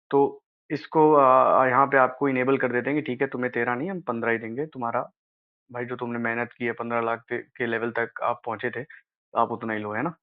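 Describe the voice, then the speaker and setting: An Indian man talks through practical details in an informal explainer style. He advises casually, like an elder brother, and keeps checking that the listener follows. His voice sits at an ordinary male pitch, and the recording is slightly dull and lo-fi. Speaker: exam advice presenter. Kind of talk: informal explainer of a job notification.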